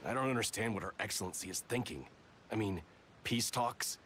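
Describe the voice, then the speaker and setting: A man's voice: fairly low pitch, a little fast, a bit bright, slight background noise, lightly acted. A man voicing an indignant, distrustful young soldier in a video game, with an emphatic, grumbling delivery. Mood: indignant, scornful.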